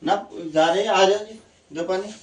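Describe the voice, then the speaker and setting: A man's voice: high voice, moderate pace, close to an everyday voice. A man playing a placating, protesting husband in an amateur domestic comedy skit, speaking colloquially. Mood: resigned. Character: placating husband of a grumbling housewife. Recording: echoey room, distant mic, faint noise.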